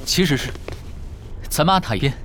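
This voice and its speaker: A man's voice: on the high side, animated, normal speed, a bit bright, a little hiss or hum. An actor playing a polite, earnest and persistent young man, a younger twin brother, in a radio drama. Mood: persuading. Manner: courteous, earnest.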